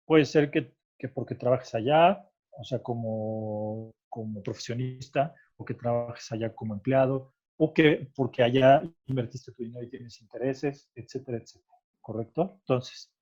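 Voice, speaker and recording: A man's voice: medium pitch, normal speed, a little mellow, highs cut off. A man with a Mexican Spanish accent patiently explaining tax rules in conversation, checking often that he is understood. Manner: patient, explanatory, adds "¿ok?" after points. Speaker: tax expert guest.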